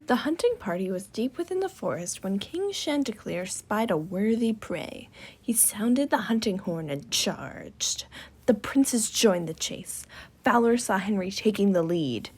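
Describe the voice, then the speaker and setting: A woman's voice: mid-range voice, lively intonation, unhurried, darkish tone, breathy edge. A woman reading scripted story narration as a student volunteer in readers theater.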